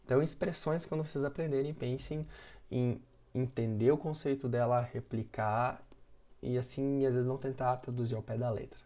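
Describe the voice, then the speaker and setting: A man's voice: mid-range voice, steady tone, moderate pace, dark tone. A man teaching an English lesson in a video, explaining patiently and often checking in with short tag questions.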